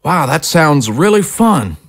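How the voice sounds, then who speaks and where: A man's voice: on the high side, very animated, slow, no room sound, clean studio sound, full character voice. An actor playing a burly family man in an audio drama, a loving father and a testy husband. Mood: warm, playful.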